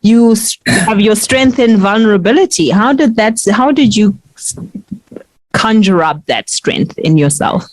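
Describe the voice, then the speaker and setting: A woman's voice: mid-range voice, lively intonation, a little slow, no echo. A South African woman hosting a radio interview, talking in a reflective, conversational way. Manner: reflective, conversational with frequent affirming murmurs. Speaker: radio host.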